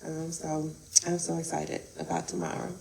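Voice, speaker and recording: Gravelly lowish woman from chicago, chatty, faint background noise. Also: sing-song, normal speed, warm tone, poor audio. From raised in Chicago. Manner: chatty, laughs often. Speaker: guest, event producer. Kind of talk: casual interview.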